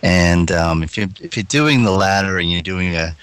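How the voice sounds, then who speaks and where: A man appearing as a legal guest on a radio show, explaining in a measured way. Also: low-pitched, a bit bright, clear, resonant tone. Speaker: internet lawyer guest. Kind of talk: radio interview answer.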